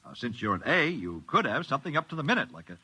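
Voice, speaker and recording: A man's voice: medium pitch, very animated, quick pace, sharp tone, slightly rough, heavily acted. A male actor playing a deferential salesman from the future, with polished, eager patter in 1950s radio drama style. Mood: helpful, brisk.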